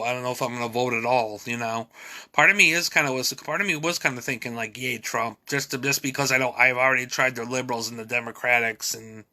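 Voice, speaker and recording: Male vlogger, sharp bright tone, loose halting monologue with many restarts. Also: mid-range voice. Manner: repeats and restarts words often, many fillers. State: nasal drip, clears throat. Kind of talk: rambling personal monologue.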